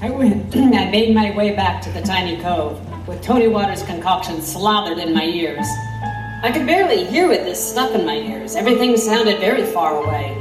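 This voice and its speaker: A woman's voice: mid-range voice, expressive, normal speed, natural voice. A woman playing an intrepid reporter who recounts her adventure in wry, vivid audio drama narration. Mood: wry, reflective. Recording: roomy, slightly distant over music.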